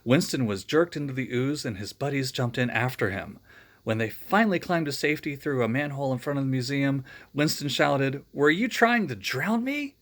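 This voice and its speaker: A man's voice: medium pitch, fairly quick. A man reading a children's storybook aloud, breaking off for friendly, joking asides to young listeners. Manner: friendly, chatty asides between read passages.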